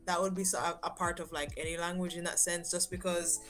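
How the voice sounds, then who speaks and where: A woman chatting on a stream as co-host, telling stories in an animated way. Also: brisk, faint music behind. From Jamaica. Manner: animated storytelling, jumps high when quoting. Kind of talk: casual chat.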